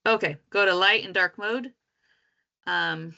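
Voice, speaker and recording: Slow, creaky-voiced woman giving a demo. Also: mid-range voice, bright, forceful tone, lo-fi sound. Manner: halting, thinking aloud. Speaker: student developer presenting a software project. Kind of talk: informal live screen demo.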